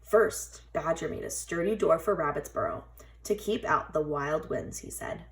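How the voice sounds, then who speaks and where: A woman teacher reading a children's storybook aloud in an expressive, dramatic way. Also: low-pitched, normal speed, creaky, a little hiss or hum, rough recording. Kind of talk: scripted children's storybook narration.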